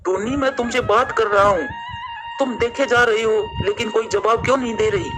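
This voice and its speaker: Cartoon bird friend: male, high-toned, serious and earnest, voiced by a man, clear music underneath. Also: steady tone, a little fast, natural voice. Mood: concerned.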